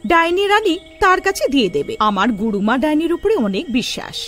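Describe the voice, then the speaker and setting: A woman voicing an honest, humble witch mother from a poor family in a cartoon story, speaking quickly and deferentially. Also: sits high, very animated, a bit bright, faint music behind. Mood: reassuring. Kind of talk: children's cartoon story acting.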